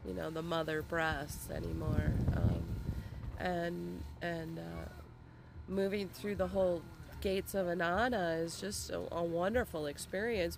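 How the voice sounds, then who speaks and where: A woman being interviewed in a vendor tent outdoors at a festival, talking slowly and haltingly with many fillers. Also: on the low side. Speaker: interview guest. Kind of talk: casual interview. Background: faint air compressor noise.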